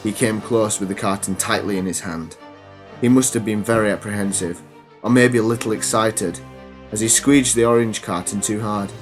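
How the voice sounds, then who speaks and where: Teenage boy, podcast host, stuffy cold, lowish voice, deadpan, rambling with ums. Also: moderate pace, soft background music. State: congested from a cold. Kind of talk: comedy storytelling and casual chat.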